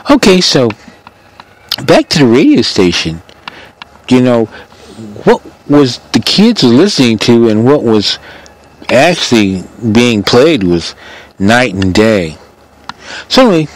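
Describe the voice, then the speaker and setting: An older man with an American accent and a fairly low voice speaks as a radio show host. He tells his story casually and conversationally, wandering as he goes and slipping into reported dialogue. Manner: rambling, conversational, re-enacts dialogue.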